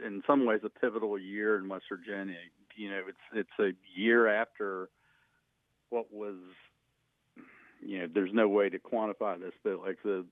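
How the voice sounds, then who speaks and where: An American man explaining history in an interview, halting and rephrasing as he goes. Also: fairly low pitch, no room sound. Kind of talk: informal explanatory interview talk. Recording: narrow, tinny phone-like sound.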